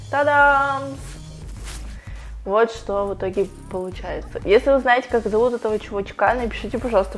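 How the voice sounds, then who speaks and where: A bubbly young woman chatting away at a middle pitch, teasing her pet and addressing viewers directly. She sits in a small tiled home bathroom with a clip-on mic, light music playing underneath. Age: young adult. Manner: chatty, playful, talks to her pug and viewers. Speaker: vlogger. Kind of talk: casual vlog chat unboxing toys. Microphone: small lapel mic.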